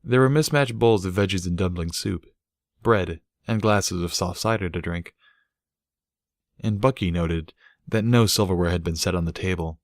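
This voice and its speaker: A man's voice: deep voice, normal speed, no echo, clean studio sound. A man reading a scripted story aloud as an audiobook narrator.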